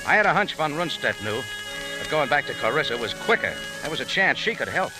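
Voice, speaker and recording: An actor playing a tough, wry American adventurer in old radio detective style, with a clipped, casual hard-boiled delivery. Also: male voice, on the high side, sing-song, fairly quick, rough recording. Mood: hard-boiled narrating. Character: tough, wry American adventurer hero narrating his case. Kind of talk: 1940s-50s radio detective acting. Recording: old radio recording with music.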